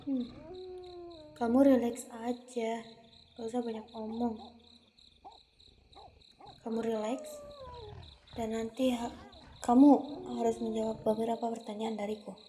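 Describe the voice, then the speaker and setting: Woman acting a slow, teasing ancient vampire woman, Indonesian accent. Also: a little high, close to an everyday voice. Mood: calming, soothing. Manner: slow, taunting, mixing Indonesian with bits of English and Japanese. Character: ancient female vampire, thousands of years old, teasing and domineering. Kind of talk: anime-style ASMR roleplay. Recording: steady insect and nature ambience noise.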